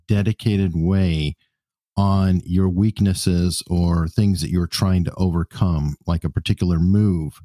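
A man with a low, dark and relaxed voice acts as interviewer. He speaks conversationally and tends to explain ideas as he goes. The sound is close and dry, free of room echo.

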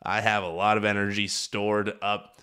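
A man hosting a wrestling podcast, talking in an energetic, hyped-up, chatty way while recovering from being sick. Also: lowish voice, moderate pace, smooth. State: recovering from illness. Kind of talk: casual podcast recap.

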